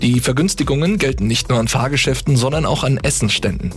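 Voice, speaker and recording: A man's voice: mid-range voice, quick pace, soft background music. A man reading a local news report on radio in an upbeat way.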